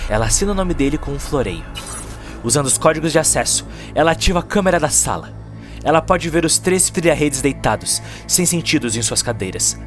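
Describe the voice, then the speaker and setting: A man narrates a cyberpunk audio story in a dramatic, scripted style. His voice sits at a medium pitch, with clear music running behind it.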